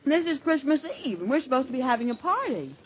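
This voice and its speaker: Bright, coaxing companion, lively voice, muffled old radio. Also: female voice, high-pitched, quick pace. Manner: coaxing, light-hearted. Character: cheerful young woman, the detective's companion. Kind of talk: 1940s radio acting.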